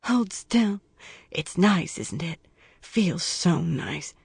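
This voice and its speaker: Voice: mid-range voice, animated, very slow, vocal fry, slightly rough, no room sound, exaggerated voice. A narrator voicing a menacing male death-row killer who taunts in a crude, mocking singsong and sneering whispers. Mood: creepy coaxing.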